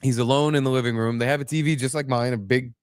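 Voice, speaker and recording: A man's voice: medium pitch, fairly even intonation, quick pace, clean tone, no echo, clean studio sound. A man hosting a podcast, chatting casually and comedically, telling profane stories with acted-out exclamations. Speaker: podcast host.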